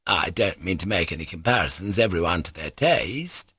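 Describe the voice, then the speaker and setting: A man's voice: low-pitched, expressive, brisk, sharp tone, breathy edge, no room sound, clean studio sound, heavily acted. A man voicing a querulous, rambling, half-mad wife and mother in a plaintive, self-pitying way, for an audiobook. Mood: rambling, aggrieved.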